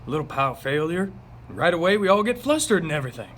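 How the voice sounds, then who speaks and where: A fairly high, animated male voice acted by a man as a skeptical, folksy small-town neighbor. It is an amateur script reading with some room noise behind it. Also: quick pace. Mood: flustered. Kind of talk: amateur read-aloud of a television script.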